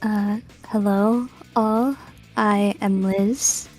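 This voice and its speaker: A twenty-five-year-old woman with a mid-range voice introduces herself. She speaks slowly and in a friendly, casual way. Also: medium pitch, steady tone, a little mellow, faint music behind, poor audio. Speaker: behavioral therapist.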